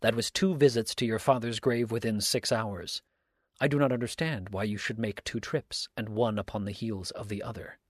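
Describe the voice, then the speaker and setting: A man voicing a stern, righteous countryman in an audiobook, speaking in a measured, formal, sermonizing way. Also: fairly low pitch, steady tone, no echo, studio quality, lightly acted. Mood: coolly reasoning. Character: stern, unhurried righteous countryman, preaching and probing. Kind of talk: audiobook character dialogue.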